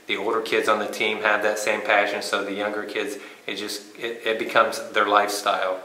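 A man in his fifties, a sports coach giving an informal interview, speaking haltingly with pauses mid-sentence. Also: on the low side, flat delivery, bright, forceful tone. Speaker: high school cross country coach. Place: small bare indoor room. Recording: echoey room.

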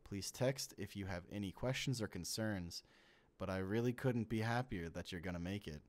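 Man acting a low-voiced, measured inhuman host. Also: close to an everyday voice. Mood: friendly, polite. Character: calm inhuman host posing as a trusted male friend. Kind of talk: comic dub voice acting.

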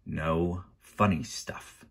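A menacing, bony villain's henchman from a storybook, put on by a male narrator. He is low-voiced and curt, handing out threats as orders. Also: fairly quick, slightly rough. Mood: threatening. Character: menacing bony henchman, bald with a long nose.

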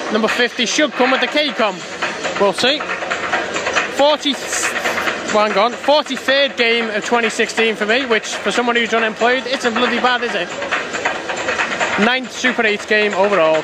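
Chatty man in a loud stadium. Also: high-pitched. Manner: chatty, grumbling, talks over the crowd. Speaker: fan vlogger at a rugby ground. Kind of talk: casual handheld commentary. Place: outdoor rugby stadium stands. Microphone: phone camera held in hand. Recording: loud crowd noise, outdoor phone recording. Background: loud crowd and outdoor noise.

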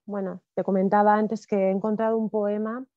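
A woman in her thirties, a guest speaker talking reflectively on a video call from home, with soft fillers and restarts. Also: mid-range voice, flat delivery, brisk, dry recording. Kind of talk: conversational talk. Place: home room with wood ceiling. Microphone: video call with earphones. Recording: slightly dull video call audio.

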